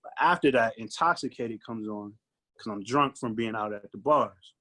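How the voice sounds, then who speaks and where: A man with a mid-pitched voice chats as an interview guest. He is a recording artist, and his talk is casual, conversational and full of slang. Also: normal speed, sharp tone.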